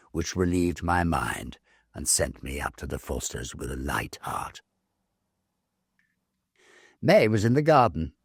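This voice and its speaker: Very deep, animated British male storyteller. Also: vocal fry, slightly rough, dry recording, studio quality. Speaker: storyteller reading a classic ghost story. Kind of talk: expressive dramatic audiobook narration.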